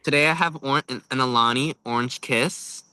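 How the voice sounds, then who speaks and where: Very bright-voiced young male podcast host. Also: medium pitch, normal speed, smooth, low-quality recording. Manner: chatty, informal, frequent 'like'. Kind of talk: casual podcast chat.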